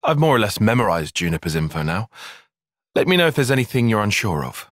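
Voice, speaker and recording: An actor playing an earnest, cerebral young soldier and tactician in a video game, with a British accent, articulate formal phrasing and a slightly husky voice. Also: male voice, fairly low pitch, sing-song, fast talker, a bit bright, no echo, studio quality. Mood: measured, analytical. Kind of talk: scripted video game cutscene acting.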